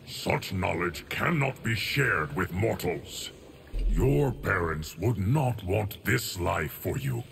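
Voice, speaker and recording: An actor voicing a solemn, stoic, ageless guardian of time in a video game, formal and measured with a gravelly voice. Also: male voice, deep voice, big pitch swings, fairly bright tone, slight background noise, full character voice.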